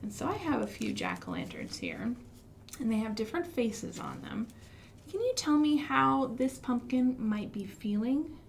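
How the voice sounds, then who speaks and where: American woman, storytime host for small children. Fairly high voice, expressive, encouraging, slight background noise. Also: moderate pace, creaky. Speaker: children's librarian hosting storytime. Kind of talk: interactive children's storytime with songs and finger rhymes. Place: live online stream for a library.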